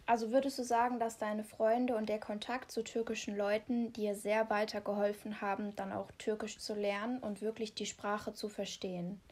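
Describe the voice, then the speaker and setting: A woman's voice: mid-range voice, little pitch movement, moderate pace, hardly any pauses, a little mellow. A woman interviewer who asks long, careful questions and sums up what her guest has said.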